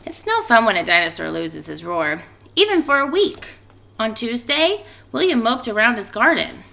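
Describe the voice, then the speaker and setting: Woman teacher, expressive children's read-aloud, very lively pitch, some fry, faint background noise and clicks. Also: normal speed. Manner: animated, expressive delivery with emphatic stretched words. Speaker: teacher reading a storybook aloud to pupils.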